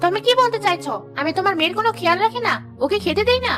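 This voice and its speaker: A woman voicing a harsh middle-aged stepmother in a cartoon, sharp and sarcastic. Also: very high voice, lively intonation, racing, soft background music, exaggerated voice. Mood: scolding. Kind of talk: cartoon dubbing.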